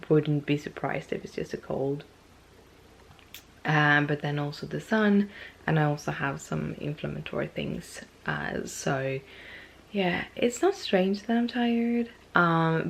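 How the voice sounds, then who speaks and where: Female vlogger, low and creaky, stuffed up and hoarse, chatty and meandering; faint background noise. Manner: rambling, with many ums and restarts. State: stuffy and losing her voice. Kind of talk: casual talking-to-camera vlog.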